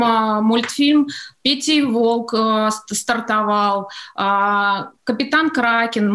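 A woman's voice: fairly high pitch, fairly bright tone. A woman answering as a guest in a radio interview, explaining hesitantly and piecing her thoughts together as she goes. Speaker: interview guest, studio executive.

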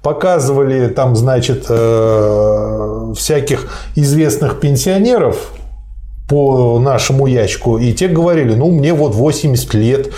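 Mellow-voiced man reading aloud with asides. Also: mid-range voice. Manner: measured reading with dry asides. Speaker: discussant reading a text aloud.

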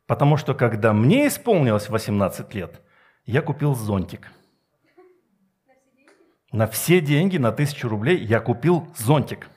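A middle-aged man giving a Sunday sermon with personal anecdotes, speaking emphatically with deliberate pauses and rhetorical questions. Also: medium pitch, darkish tone. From Soviet Union. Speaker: preacher.

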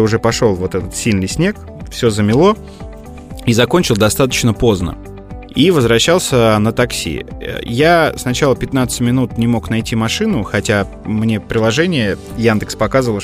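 A man's voice: lowish voice, animated, normal speed. Digressive male podcast host, music behind. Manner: hesitant, digressive, with many filler words. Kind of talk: casual conversational storytelling.

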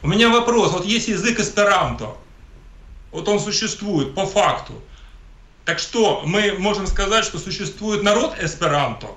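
A man's voice: sits high, animated, moderate pace, a bit bright. A man from Ukraine, an interview guest giving emphatic, polemical political commentary and often repeating himself. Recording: echoey room.

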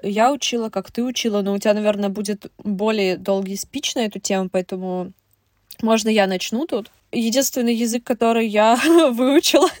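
A woman from Russia chats on a podcast, stacking quick asides and fillers. Her voice sits in a middle range. The sound is dry and close, with no room echo. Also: medium pitch, fairly even intonation, a little mellow. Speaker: podcast co-host. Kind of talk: casual podcast chat.

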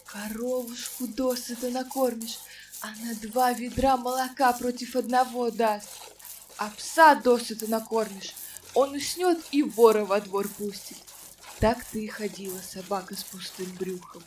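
Woman narrator for a folk fairy tale, slightly high voice, measured and folksy, mic set back. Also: fairly even intonation, a little mellow, distant mic, slight background noise. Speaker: storyteller.

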